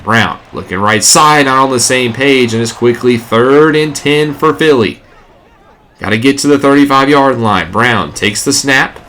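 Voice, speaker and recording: Stuffy man calling game plays. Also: mid-range voice. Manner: excitable play-by-play, rising on big plays. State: stuffy, congested. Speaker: gaming YouTuber. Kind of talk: play-by-play commentary over a video game.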